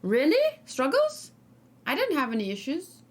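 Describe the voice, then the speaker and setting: Woman, high voice, gaming streamer, casual chat, rambling, informal, thinking aloud. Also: huge pitch swings, unhurried. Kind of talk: casual chatty let's play commentary.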